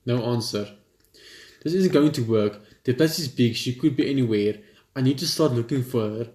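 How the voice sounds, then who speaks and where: A man's voice: medium pitch, normal speed, a little mellow. A young man reads visual novel text aloud as a let's player, informally, with stumbles and casual reactions. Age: young adult. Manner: informal, stumbles while reading. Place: indoor room at home. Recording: roomy echo, clear background noise.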